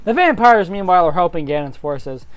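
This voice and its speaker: A woman's voice: fairly low pitch, animated, brisk, no room sound. A woman narrating a fantasy story informally, as an amateur reader would, over faint music. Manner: informal, breaking into giggly asides.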